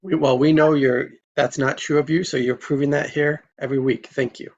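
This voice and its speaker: A man's voice: on the high side, fairly even intonation, brisk. Encouraging male host, dull lo-fi audio. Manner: affirming, encouraging. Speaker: host of an online table read. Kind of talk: casual hosting.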